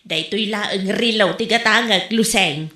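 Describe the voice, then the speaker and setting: A woman's voice: medium pitch, unhurried, pushed and bright, reverberant. A woman playing an Ilocano village mother bargaining for a watch.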